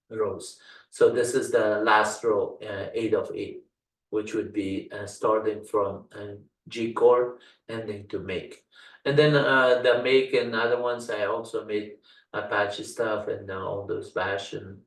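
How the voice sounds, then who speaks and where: An older Dari-speaking man walks through a software tutorial in a halting way, stopping often on "uh". His voice sits a little low, and it sounds roomy and set back from the microphone. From Afghanistan. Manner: halting, frequent "uh" fillers, folksy. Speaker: computer science instructor. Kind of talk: screen-share software tutorial walkthrough. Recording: roomy sound, distant from mic.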